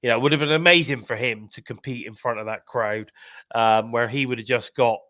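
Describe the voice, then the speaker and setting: A man's voice: mid-range voice, fairly quick, no room sound, highs cut off. A British man with a blocked-up, congested voice, hosting a live wrestling podcast in a chatty, upbeat way. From United Kingdom. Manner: chatty, upbeat, repeats calls to subscribe. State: congested, bunged up. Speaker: podcast host.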